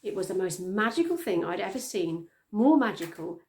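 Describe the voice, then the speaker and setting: A middle-aged woman reading a children's story aloud with expressive storytelling delivery. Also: mid-range voice, moderate pace. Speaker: storytime reader. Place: small plain room indoors, on a sofa.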